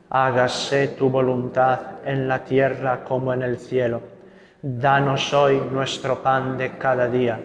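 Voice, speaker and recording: Young italian friar, gentle slow talk, bit of reverb in the room. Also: male voice, medium pitch. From Italy. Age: young adult. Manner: gentle, unhurried, adds tag questions. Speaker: Carmelite friar giving a talk. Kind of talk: spiritual talk with prayer.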